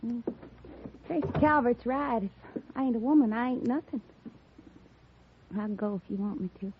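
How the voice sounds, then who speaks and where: A woman playing a rough-talking young frontier tomboy with an unpolished backwoods Western dialect in an old radio drama. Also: a little high, normal speed, a little hiss or hum, close to an everyday voice. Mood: blunt, embarrassed. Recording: muffled narrowband vintage radio recording.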